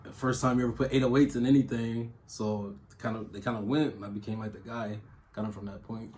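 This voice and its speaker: Relaxed man in his thirties. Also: on the low side, fast. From Toledo, Ohio. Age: 30s. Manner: relaxed, loose talk with fillers and slang. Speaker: music producer. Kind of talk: casual interview answers.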